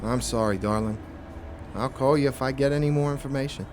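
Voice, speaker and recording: Man acting a blunt, deadpan noir detective. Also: mid-range voice, brisk, a little hiss or hum, lightly acted. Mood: grave. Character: hardboiled, blunt male private investigator in noir mystery. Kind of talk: noir radio drama with first-person narration.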